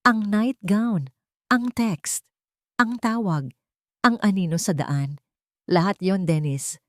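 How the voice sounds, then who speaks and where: Woman acting coldly calm, vengeful wife. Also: mid-range voice, slow pace, dry recording, clean studio sound, lightly acted. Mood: calm, triumphant. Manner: calm, sweetly venomous.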